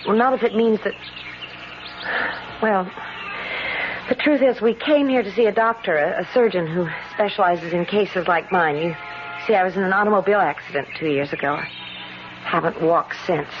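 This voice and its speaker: A friendly, chatty sister played by an actress with a medium-pitched voice, teasing yet wistful. It is a natural radio play performance on a muffled, noisy recording. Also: female voice, normal speed, long pauses, natural voice. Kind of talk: radio drama acting. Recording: muffled radio drama recording with loud noise.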